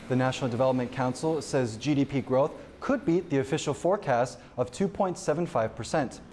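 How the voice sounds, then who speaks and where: A man anchoring a television newscast, reading the headlines in a measured, even way from a studio. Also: medium pitch. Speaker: news anchor. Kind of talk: scripted news reading.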